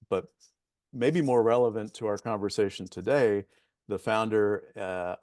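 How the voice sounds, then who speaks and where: Eager low-voiced male host, dry sound. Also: a little mellow, no echo. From Oklahoma. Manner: enthusiastic, halting, many fillers. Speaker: interview show host. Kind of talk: interview introduction.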